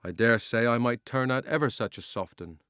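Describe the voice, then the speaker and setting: A man's voice: mid-range voice, fairly quick, fairly bright tone, smooth, no room sound, studio quality, lightly acted. A man reading a storybook, voicing a humble, earnest male London cabman with a Cockney accent, deferential and plain-spoken.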